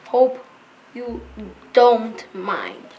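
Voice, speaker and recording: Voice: medium pitch, huge pitch swings, very slow, highs cut off, a little hiss or hum, exaggerated voice. A female performer playing a courteous rhyming knight in a children's reader's theater play. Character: cheerful, courteous rhyming knight of a fantasy kingdom.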